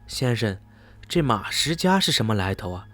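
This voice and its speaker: A man's voice: fairly low pitch, big pitch swings, moderate pace, fairly bright tone. A man playing a polite, inquisitive traveler new in town, in radio drama dialogue. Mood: intrigued. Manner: courteous, inquisitive. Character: polite, curious male traveler, newcomer in town. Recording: music behind the voice. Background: background music.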